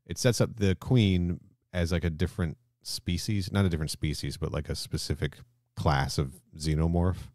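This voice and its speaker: Very deep-voiced man, casual podcast talk. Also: steady tone, moderate pace, a little mellow, no echo, studio quality. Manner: emphatic, casually sweary. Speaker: podcast co-host. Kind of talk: casual discussion and film plot recap.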